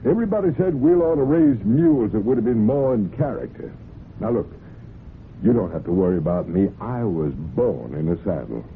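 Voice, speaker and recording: A man's voice: lowish voice, sing-song, normal speed. An actor playing a genial, pushy horseman, folksy and insistent, in an old-time radio drama. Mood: insistent, confident. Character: persistent, cocksure horseman, genial but pushy buyer. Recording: muffled, noisy old radio broadcast.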